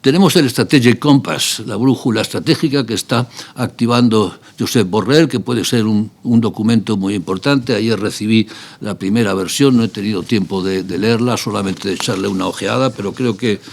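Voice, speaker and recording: A man gives an academic seminar talk in a measured, lecturing way, posing rhetorical questions as he goes. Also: on the low side. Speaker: seminar speaker.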